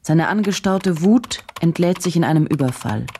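An actress playing a journalist who narrates a case in a sober, detached, measured way for a radio drama. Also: female voice, fairly low pitch, animated, dark tone. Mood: detached, tense. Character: woman journalist soberly reconstructing a dark case. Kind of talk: German radio drama, present-tense report narration. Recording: clear noise, typing clicks behind.

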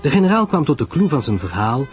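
A man's voice: medium pitch, big pitch swings, quick pace, faint music behind. A man narrating a dramatised story from a script, as the storyteller between dialogue scenes.

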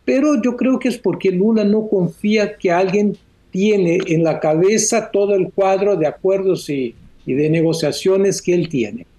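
High, mellow-voiced economist commentator. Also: male voice. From Brazil. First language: Portuguese. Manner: measured, explanatory, with frequent "¿no?" tags. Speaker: columnist, economist. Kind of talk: radio phone-in commentary.

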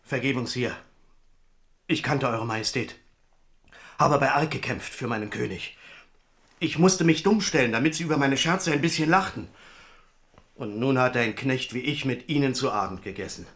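A man's voice: mid-range voice, normal speed, pauses often, fairly bright tone, close to an everyday voice. An actor in a German radio play playing a jocular, crafty common tradesman with a folksy, humbly cheeky way of talking. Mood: humble, pleading. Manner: chuckling, folksy storyteller, humbly cheeky toward the king.

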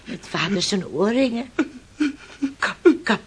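A woman's voice: mid-range voice, lively intonation, slow pace, slightly rough, full character voice. An actress playing a stern, desperate middle-aged fisherman's mother in a Dutch radio play, by turns bullying and coaxing. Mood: coaxing, tender. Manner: scolding and exclamatory, turning to pleading.

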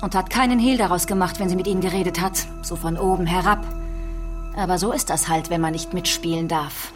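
A woman's voice: on the low side, a little fast, over music, close to an everyday voice. An actress playing a relentless female police detective in a radio play, probing and taunting as she interrogates a suspect.

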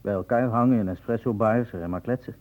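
A man's voice: fairly low pitch, brisk, natural voice. Actor as tinny-sounding, casual male assistant. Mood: chatty, dismissive. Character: casual, dismissive male medical assistant reporting to superior. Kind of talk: radio drama acting. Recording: tinny, phone-like narrow sound.

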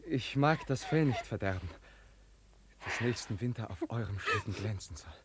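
An actor playing a proud, devoted young knight in a radio drama, formal and halting in his fervour. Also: fairly low pitch, animated, very noisy, lightly acted. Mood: proud, deferential. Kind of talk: classic German radio drama acting.

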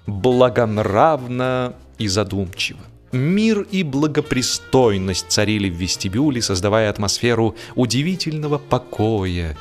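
Expressive male storyteller over music. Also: medium pitch. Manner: theatrical, mock-solemn, ironic. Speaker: audiobook narrator. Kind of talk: expressive narration of a humorous story.

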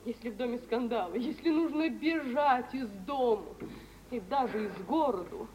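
A woman's voice: a little high, expressive, moderate pace, natural voice. An actress playing a proud, wounded, forsaken young woman in an old Russian radio play, with emotional theatrical delivery. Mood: anguished. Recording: muffled, noisy 1950s radio play recording.

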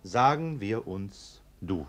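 Anxious, pompous householder with swooping intonation. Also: male voice, lowish voice, animated, slow pace. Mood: effusive, solemn. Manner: ingratiating, pompous, protesting. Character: anxious, self-deceiving bourgeois householder, ingratiating and pompous. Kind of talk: German radio play acting.

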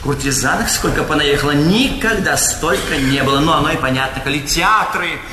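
A man's voice: on the high side, fairly quick, close to an everyday voice. A man playing a sly, servile, gossiping retainer with a fawning, ornate manner in a Russian stage comedy. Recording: echoey theatre hall, faint noise.

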